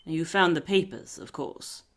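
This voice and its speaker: A woman's voice: sits low, expressive, unhurried. A woman playing a commanding, shrewd aristocratic lady detective in a period radio mystery, with a breezy, imperious, theatrical manner. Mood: probing.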